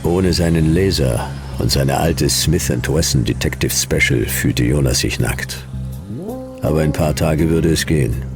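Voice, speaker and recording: Super deep gravelly detective guy, dry and world-weary, noir radio play, music underneath. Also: a little slow. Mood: weary narrating. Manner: laconic, dry. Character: hard-boiled male private detective narrating his case, dry, world-weary.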